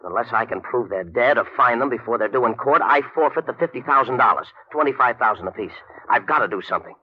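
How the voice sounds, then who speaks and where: A man's voice: mid-range voice, expressive, fast, slight background noise, close to an everyday voice. An actor playing an anxious, shifty New York bail bondsman in an old-time radio crime drama, pleading and hesitant in slangy talk. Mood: anxious, insistent. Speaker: supporting character in detective radio drama. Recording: muffled vintage 1950 radio recording.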